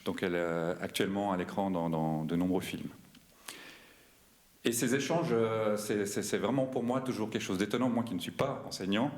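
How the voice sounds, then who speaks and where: Hesitant low-voiced man opening a festival. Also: moderate pace. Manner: hesitant, many fillers and restarts. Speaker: festival president. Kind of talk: welcome speech. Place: cinema hall. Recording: roomy hall.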